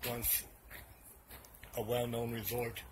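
A man's voice: medium pitch, completely flat delivery, slow pace, background noise. A man vlogging as he walks through outdoor hotel ruins, talking in a loose, rambling way and correcting himself as he goes. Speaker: urban explorer vlogger. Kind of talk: casual walking narration.

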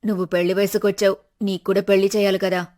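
A woman voicing a worried, practical older wife and mother in an animated family story, plain-spoken and resigned. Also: mid-range voice, fast talker, lightly acted. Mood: matter-of-fact.

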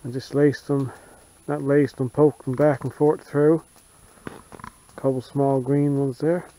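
A man talking in a plain, unhurried, folksy way while showing his camp in the snowy woods, recorded on a wearable camera. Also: medium pitch, flat delivery, mellow, slight background noise, poor audio. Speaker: bushcraft vlogger. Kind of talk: casual outdoor narration. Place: snowy forest outdoors.

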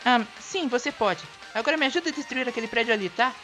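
A woman's voice: a little high, expressive, fast, a bit bright, soft background music. A woman with a Brazilian accent voicing a naive, eager, put-upon female rabbit-like creature in a cartoon fandub, earnest and whiny.

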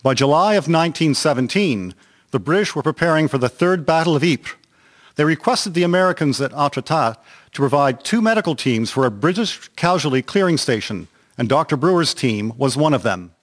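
A man narrating a historical radio drama from a script in a measured, formal reading. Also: fairly high pitch, animated.

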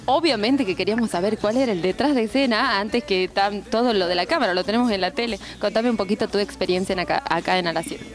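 A woman's voice: medium pitch, fast, few pauses. A young woman with an Argentine accent, interviewing guests in a TV studio in a playful, bantering way. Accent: Argentine Spanish. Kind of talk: casual TV interview. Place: TV studio set, on a couch. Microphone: handheld mic.

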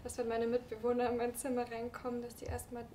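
A woman's voice: fairly high pitch, monotone, quick pace, mellow, reverberant, distant mic, slight background noise. A woman in her twenties hosting a dating show in casual chat, easygoing and giggly. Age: 20s. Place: studio with curtains.

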